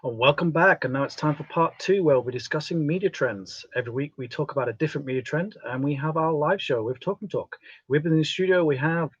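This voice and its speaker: Male host, raspy medium-pitched voice, presenter delivery with casual chat, very dry studio audio. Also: quick pace, no echo, lo-fi sound. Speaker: radio host. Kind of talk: scripted introductions and casual chat. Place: radio studio.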